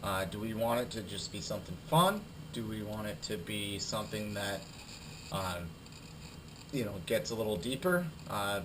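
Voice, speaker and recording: A young adult man with a cold giving a recorded class presentation, halting and full of fillers and repeated words. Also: fairly low pitch, noisy background. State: has a cold, losing his voice. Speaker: student presenter.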